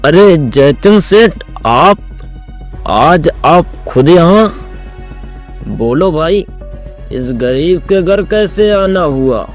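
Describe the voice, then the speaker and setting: A man's voice: fairly high pitch, lively intonation, drawn-out words, pauses often, soft background music. A man playing a greedy, two-faced village headman in a Hindi cartoon moral story, with a fawning, theatrical manner.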